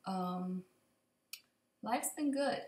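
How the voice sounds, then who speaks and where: A young woman with a slightly raspy voice from allergies gives a casual, unscripted update to camera in a hesitant, rambling way. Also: mid-range voice, animated, extremely slow, darkish tone. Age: young adult. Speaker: vlogger. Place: indoor open kitchen and living room. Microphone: computer mic at desk distance. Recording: echoey room, computer mic.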